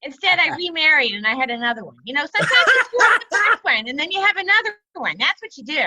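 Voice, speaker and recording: A rambling, oversharing mother in her late sixties, put on by a woman for a comedy video call. Her voice is very high and bright, bouncing all over the place. It sounds dry and close. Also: big pitch swings, fast, no echo, exaggerated voice. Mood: fussing. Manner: rambling, scolding, crude gossip.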